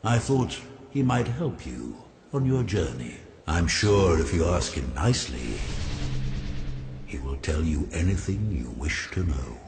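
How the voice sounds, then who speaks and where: An actor playing an ancient talking oak tree, wise, kindly but stern, speaking in a grave, formal way in an animated fantasy game. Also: male voice, low voice, background noise, heavily acted. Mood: solemn, benevolent. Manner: slow, grave, formal and deliberate.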